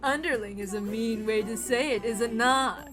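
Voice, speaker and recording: A woman voicing a gleeful, mocking witch who cackles and taunts with theatrical, drawn-out delivery in a visual novel reading. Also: a little high, over music, close to an everyday voice. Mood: exultant taunting.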